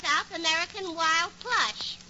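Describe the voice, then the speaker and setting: A woman's voice: extremely high pitch, unhurried. An actress playing a gabby, man-hungry, scatterbrained young New York woman in a 1940s radio comedy. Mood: indignant. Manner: gushing, ungrammatical, scatterbrained. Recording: noisy muffled 1940s radio recording.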